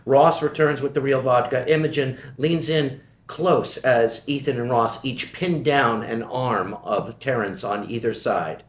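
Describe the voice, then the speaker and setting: A man reading the stage directions at a table read of a screenplay, in a measured, even way. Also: medium pitch, reverberant.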